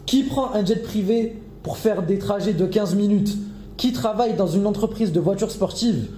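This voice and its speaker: A man plays a furious male intruder, high-pitched and slow, hurling accusations. The voice is dark and mellow and rings with room echo. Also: slight background noise, lightly acted. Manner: shouting, accusing, slow and heavy.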